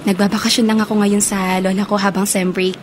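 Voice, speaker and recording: An actress playing a sweet, friendly young Filipino student in a radio drama, speaking in an open manner. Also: female voice, medium pitch, flat delivery, fairly quick, a little hiss or hum, lightly acted. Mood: friendly, curious. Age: young adult. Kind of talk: Tagalog radio drama acting.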